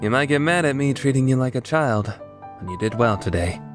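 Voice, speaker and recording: A man playing an aloof, awkward young man with hidden kindness in a dubbed visual novel, offhand and awkwardly gentle. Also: medium pitch, animated, brisk, music in the background, natural voice. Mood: gently teasing.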